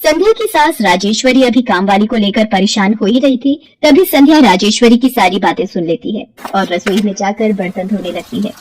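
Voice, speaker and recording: A woman's voice: medium pitch, animated, a little fast, few pauses, darkish tone, dry recording, rough recording. A woman narrating a scripted cartoon moral story as a storyteller.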